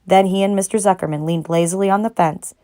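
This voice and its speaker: A woman narrating a children's storybook aloud in an expressive reading style. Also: medium pitch, fast. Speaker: narrator, read-aloud reader.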